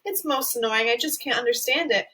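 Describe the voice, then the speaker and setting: A woman's voice: a little high, brisk, sharp tone, husky. A woman voicing an eccentric, fussy male candy maker who sighs and apologizes.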